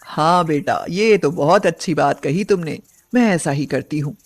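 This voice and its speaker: A woman voicing a poor, tender-hearted old village woman in a storybook tale, speaking gently and devoutly. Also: sits low, moderate pace, pitched below the performer's own voice. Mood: kindly, eager. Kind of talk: acted storybook dialogue.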